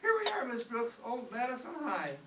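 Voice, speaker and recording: Performer as excitable teenage boy, mischievous, swooping pitch, radio sitcom style, distant and noisy. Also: normal speed. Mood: chipper. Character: eager, mischievous high school boy Walter. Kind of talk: old-time radio comedy acting. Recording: distant echoey stage with clear noise.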